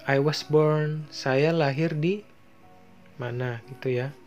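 Indonesian-accented guy teaching english over slides, super slow, faint music behind. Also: on the high side, fairly even intonation, darkish tone, lo-fi sound. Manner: slow, explains each phrase then translates it, with many fillers. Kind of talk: bilingual lesson over slides.

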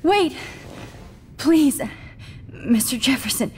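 A young woman playing a defiant, frightened teenage captive in a video game, earnest, emotional and halting. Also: sits high, expressive. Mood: desperate, pleading. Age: young adult. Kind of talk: dramatic video game acting. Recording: reverberant room with ambient noise.

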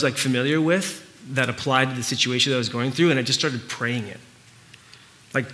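Bright-voiced man preaching, native Spanish speaker. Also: medium pitch. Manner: earnest, informal, halting with pauses and repeats. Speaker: pastor preaching. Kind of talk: conversational sermon. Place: church hall with stage, indoors, before a congregation.

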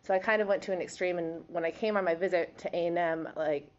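A woman's voice: lowish voice, fairly even intonation, quick pace, highs cut off. A young woman in her twenties from Wisconsin, a college volleyball player answering interview questions in a chatty, giggly way with lots of fillers. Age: 20s.

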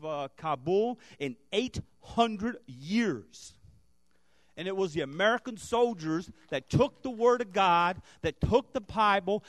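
High-voiced middle-aged man preaching, dry sound. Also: dry recording. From Brazil. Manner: animated, rising to fervent prayer. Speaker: guest pastor. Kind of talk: sermon with storytelling. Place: church sanctuary stage. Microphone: handheld microphone.

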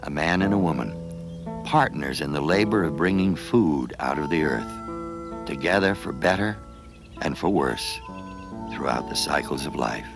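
Very deep, expressive male documentary narrator. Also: unhurried, vocal fry, over music. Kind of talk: scripted narration.

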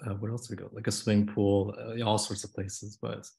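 A young man talks casually about his photographs over a video call, his fairly low voice gravelly with fry. He sounds nervous, filling his talk with "like" and "um". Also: level tone, fairly quick, warm tone. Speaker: photographer presenting his work.

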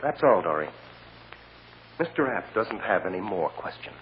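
An actor playing a persistent, impassioned American defense lawyer, with probing, theatrical rhetoric in a vintage radio drama. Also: male voice, lowish voice, sing-song, moderate pace. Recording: tinny old radio recording, long reverb, hiss.

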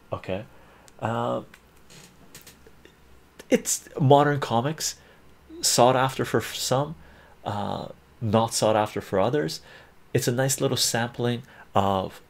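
A man's voice: on the low side, vocal fry. An older man running a casual live-stream auction from a plant-filled room, chatty, repeating himself and laughing often. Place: sunny room full of plants, indoors.

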